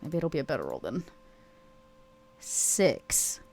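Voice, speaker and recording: A woman's voice: low voice, wildly swooping pitch, takes it slowly, gravelly, warm tone, slightly rough. A woman player in a tabletop roleplaying game, casually and playfully describing what her character does. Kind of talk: casual improvised roleplay chat.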